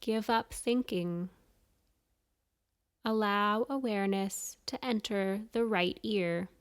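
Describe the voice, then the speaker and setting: Woman, yoga nidra guide, very slow pacing, clean resonant voice, soothing, measured pauses. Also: medium pitch, smooth. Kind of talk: guided meditation.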